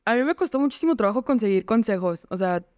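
Smooth-voiced Mexican woman in casual conversation. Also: on the high side, expressive, quick pace, a little mellow, no room sound, studio quality. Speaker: guest, entrepreneur.

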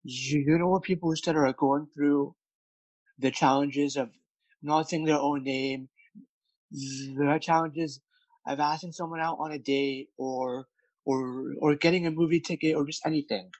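A man's voice: a little high, fairly even intonation, a little slow, darkish tone, no echo, rough recording. A man who stutters, with repeated words and many fillers, speaking candidly as a guest about his work as a speech coach. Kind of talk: candid interview conversation.

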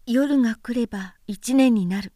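A woman voicing a small boy prince from a storybook, gentle, wistful and tender, with soft childlike phrasing. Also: a little high, moderate pace, dry recording, clean studio sound, natural voice. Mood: wistful, quiet. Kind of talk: storybook character voice in a literary recitation.

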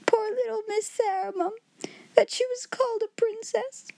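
A woman's voice: very high-pitched, normal speed, a little mellow, dry recording, voice pushed up, low-quality recording. A woman voicing a timid young scullery maid girl who pleads through sobs and drops letters as she talks. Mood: sobbing.

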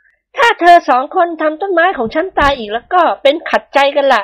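A woman's voice: high-pitched, lively intonation, normal speed, lo-fi sound, pitched above the performer's own voice. A woman voicing a genteel wealthy lady of the house who speaks sweetly and politely in a storybook reading.

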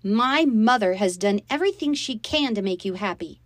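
Woman playing an angry, high-pitched young girl. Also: animated, normal speed, no room sound, clean studio sound, close to an everyday voice. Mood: outraged. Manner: emotional, accusing. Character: frightened, angry young girl telling the story.

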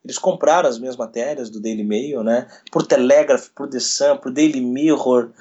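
A man, a radio sports journalist telling a story in an interview, retelling events quickly with quoted dialogue. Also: mid-range voice, dark tone. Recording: dull lo-fi recording.

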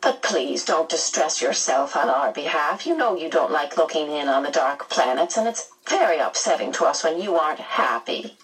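A genteel storybook lady from another world voiced by a woman reader. She is brisk and kindly, fussing and soothing with a lilting rise and fall. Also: sits low, lively intonation, far from the mic, low-quality recording. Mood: concerned.

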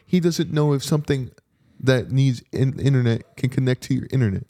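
Casual slangy man with a mellow voice. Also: medium pitch, brisk. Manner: animated storytelling, acting out quoted exchanges. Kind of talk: casual chat.

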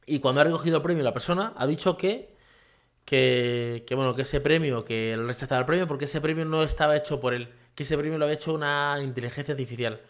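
A man's voice: a little high, fast talker. A man hosting a casual solo podcast from his home office, rambling with many fillers and tag questions. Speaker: podcast host.

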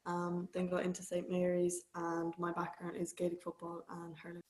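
A woman's voice: fairly low pitch, moderate pace. A woman, a student chatting casually with lots of "like" and "um". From Ireland. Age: 20s. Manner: relaxed, many fillers like "like" and "um". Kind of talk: casual chat.

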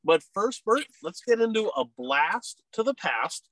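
A man's voice: high-pitched, sing-song, unhurried, fairly bright tone, no echo. A man hosting a casual racing podcast, talking in a chatty, upbeat, rambling way, sounding nasal from a head cold. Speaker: podcast host.

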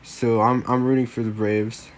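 A man's voice: medium pitch, flat delivery, moderate pace. A young man hosting a solo sports podcast, rambling casually with lots of fillers, a little worn from a lingering cold. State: getting over a cold.

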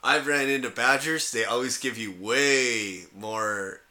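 Guy podcasting w a buddy, bright punchy voice, blunt and sweary, jokey stories. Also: mid-range voice, slow. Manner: blunt, salty, joking. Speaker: podcast co-host. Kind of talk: casual comic banter and rambling anecdotes.

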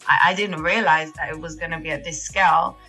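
A woman's voice: sits low, steady tone, brisk, bright, forceful tone, soft background music, rough recording. A young woman, a singer chatting casually as an interview guest, with lots of fillers and laughs. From England. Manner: chatty, laughs often, many fillers and restarts.

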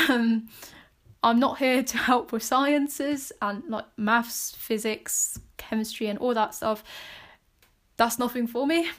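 Chatty British-accented woman podcaster. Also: on the high side. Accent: British English. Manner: chatty and rambling, with frequent fillers and soft laughs. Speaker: podcast host. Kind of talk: casual solo podcast talk.

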